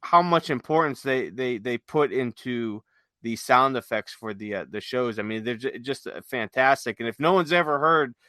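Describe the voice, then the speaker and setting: A man's voice: medium pitch, normal speed, bright, forceful tone, no echo. A man co-hosting a podcast in casual, enthusiastic, rambling explanations, sometimes reading from notes. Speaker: podcast co-host. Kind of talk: casual chat with some read-aloud notes.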